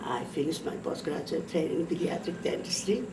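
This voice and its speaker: A woman's voice: low voice, expressive, a little fast. A woman with a Greek accent, a pediatric dentist, answering briefly and laughing lightly. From Greece. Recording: roomy sound, distant mic, clear background noise.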